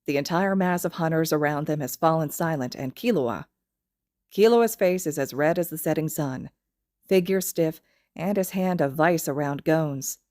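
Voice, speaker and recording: A woman narrates fiction as an audio story reader. Her pitch sits a little low. The recording is dry, with no room sound. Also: moderate pace, warm tone, no room sound. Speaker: podfic reader.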